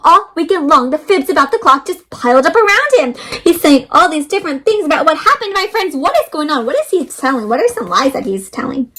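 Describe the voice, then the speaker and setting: High-pitched woman reading to children. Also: brisk, hardly any pauses. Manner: animated, addresses children directly as friends. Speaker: host of interactive read-alouds for children. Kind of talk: expressive children's storybook read-aloud with questions to young listeners.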